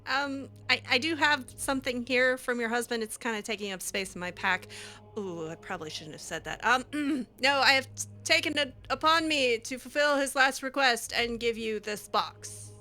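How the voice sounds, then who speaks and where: A woman's voice: fairly high pitch, moderate pace, pushed and bright, faint music behind. A woman doing casual improvised roleplay while playing a video game, hesitant, awkward and drily reluctant. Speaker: video game player roleplaying her character.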